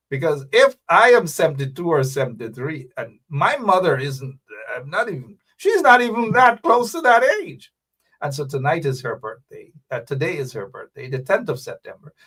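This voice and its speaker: Animated man hosting a livestream. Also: mid-range voice, slightly rough. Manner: chatty, rambling, with frequent asides. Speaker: host. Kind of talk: casual livestream talk.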